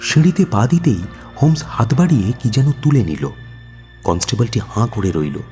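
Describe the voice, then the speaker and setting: An actor playing a detective's loyal companion, telling the story in an expressive, suspenseful radio drama style. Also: male voice, mid-range voice, moderate pace, faint music behind, close to an everyday voice. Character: admiring male companion narrating a detective's adventure. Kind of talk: dramatic first-person storytelling in radio play.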